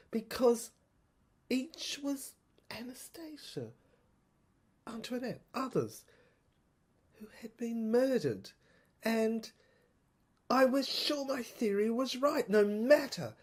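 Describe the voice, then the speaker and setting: A woman playing a troubled soldier in an audio drama monologue, thinking aloud in a halting, stammering, husky voice. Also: medium pitch, darkish tone. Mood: haunted, intense. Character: troubled soldier piecing together a dead professor's secret diary.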